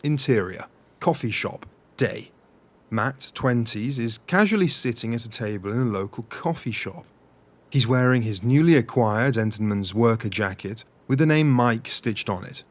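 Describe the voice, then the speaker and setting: An actor playing an earnest director at a table read, narrating a script in an audio comedy. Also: male voice, mid-range voice, expressive, normal speed, natural voice.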